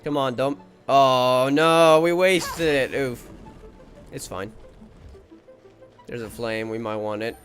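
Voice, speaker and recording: A man's voice: medium pitch, takes it slowly, long pauses, a bit bright, faint music behind. A man giving casual game commentary as he plays, thinking aloud in short reactions. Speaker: gameplay streamer.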